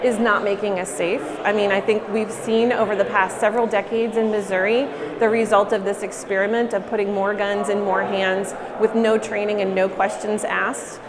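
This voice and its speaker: Even-toned woman over crowd murmur. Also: medium pitch, normal speed, hardly any pauses. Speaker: interviewee, advocate. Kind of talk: spoken interview answer.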